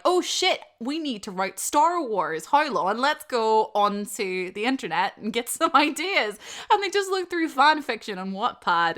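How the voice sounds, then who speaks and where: A woman host answering questions in a casual, opinionated and animated chat, swearing freely. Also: mid-range voice. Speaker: host of an audio channel.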